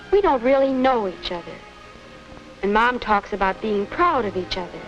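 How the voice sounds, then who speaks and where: A woman's voice: mid-range voice, close to an everyday voice. An actress playing an earnest, wondering young American woman in a 1950 educational film, with a self-questioning way of talking. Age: young adult. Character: earnest young woman unsure about her romance. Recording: dull vintage 1950s film soundtrack with music.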